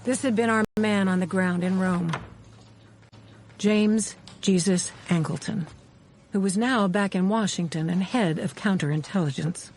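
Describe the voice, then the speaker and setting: An actress playing a wry intelligence officer, narrating her memories in measured, dryly ironic radio drama style. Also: medium pitch, darkish tone, a little hiss or hum, close to an everyday voice. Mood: measured narration. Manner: measured, dry irony. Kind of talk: scripted first-person radio drama narration. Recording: music bed under the voice. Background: music.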